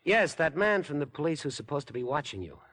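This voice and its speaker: An American actor playing an unhinged, obsessive young rich murderer in 1950s radio suspense style, wheedling, insinuating and theatrical. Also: male voice, fairly high pitch, sing-song, fast talker, a bit bright, breathy edge, heavily acted. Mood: menacing, smug. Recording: noisy vintage 1950s radio recording.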